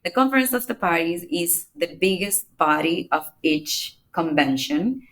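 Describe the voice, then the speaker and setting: A woman from the Republic, giving measured step-by-step answers as a podcast guest. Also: fairly low pitch, expressive, a bit bright. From Dominican Republic. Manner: measured, explains step by step with small restarts. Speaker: climate negotiator, podcast guest. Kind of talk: explanatory interview answers.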